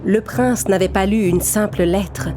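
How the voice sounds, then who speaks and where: A woman's voice: mid-range voice, unhurried, noisy background. A woman narrator telling a children's fairy tale in a scripted storytelling style.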